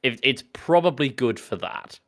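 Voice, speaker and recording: A man's voice: mid-range voice, lively intonation, moderate pace, fairly bright tone. A man co-hosting a casual film podcast with jokey, sweary banter. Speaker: podcast co-host.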